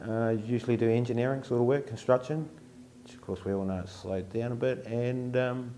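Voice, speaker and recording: A man's voice: mid-range voice, steady tone, a little fast, noisy background. A man, speaking matter-of-factly in a documentary interview. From New Zealand.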